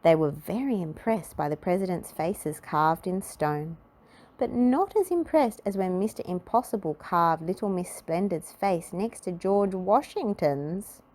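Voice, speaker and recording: Lower-pitched woman reading a children's storybook. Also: animated, unhurried, a little mellow. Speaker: storybook narrator. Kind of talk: children's read-aloud narration.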